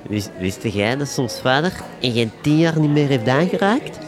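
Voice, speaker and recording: A man's voice: mid-range voice, lively intonation, moderate pace, music in the background, natural voice. An actor playing a crude, gossiping young man chatting in a pub in a radio comedy drama. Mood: gossipy.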